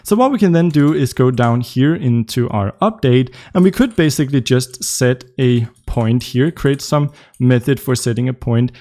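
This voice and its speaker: A man's voice: medium pitch, normal speed, hardly any pauses, dark tone. A young man with a Danish accent hosting a live coding tutorial, explaining casually with frequent ums and uhs as he works. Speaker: tutorial host.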